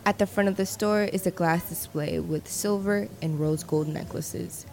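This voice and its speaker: A woman reporter reading scripted narration in a measured, descriptive way. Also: fairly low pitch, a little mellow, faint music behind.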